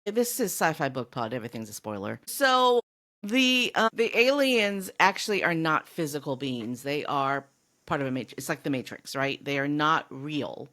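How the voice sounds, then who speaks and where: Lady podcast co-host, low voice, matter of fact, explains stuff in easy chat. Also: lively intonation, moderate pace, bright, forceful tone. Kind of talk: casual book discussion.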